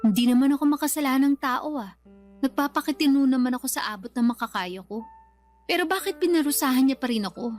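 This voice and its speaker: A woman's voice: high-pitched, fast, soft background music, lightly acted. An actress playing a worn-out, bitter young single mother in a radio drama, speaking in a plaintive, complaining way. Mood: resentful, despairing. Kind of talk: Tagalog radio drama acting.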